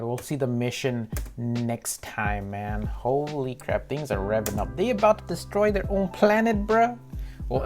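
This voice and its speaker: A man hosting a reaction video, giving casual, unscripted commentary in a rambling, slangy way. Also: mid-range voice. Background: music behind the voice.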